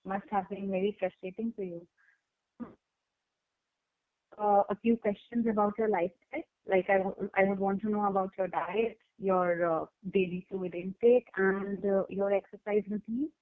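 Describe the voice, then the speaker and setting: Halting woman doctor on a phone line. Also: mid-range voice, fairly even intonation. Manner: halting, many fillers and restarts. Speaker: doctor candidate in a role-play. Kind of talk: practice exam consultation.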